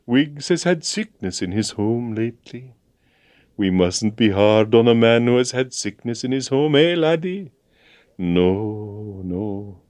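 A man voicing a hard-boiled Scottish male literary agent gone soft, with a Scottish accent, by turns scornful and quavering. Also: mid-range voice, lively intonation, slow pace, no room sound. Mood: tearful. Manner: gruff turning tearful and quavering.

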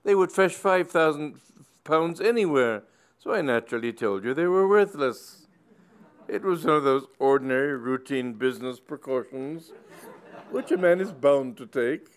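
A man playing a pompous, tearful old male country squire who speaks loudly and grandly. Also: smooth, lightly acted. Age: older. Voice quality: high, loud and pompous.

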